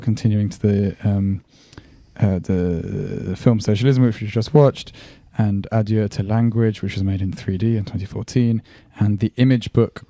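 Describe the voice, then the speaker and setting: A man introducing his podcast episode, his voice husky from laryngitis, speaking in a measured, slightly quiet way. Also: fairly low pitch, no room sound. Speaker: podcast host. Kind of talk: scripted show introduction.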